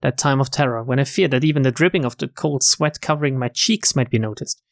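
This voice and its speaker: A man streaming a visual novel, reading it aloud with expressive, casual commentary. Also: mid-range voice, fast talker, gravelly, no echo, clean studio sound. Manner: expressive reactions, casual swearing. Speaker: game streamer.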